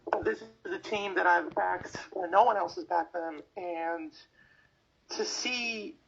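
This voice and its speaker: A man on a podcast panel talking casually after a game, despondent and earnest, with a gravelly, husky voice. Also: high voice, takes it slowly, a bit bright, highs cut off. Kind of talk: casual post-game talk.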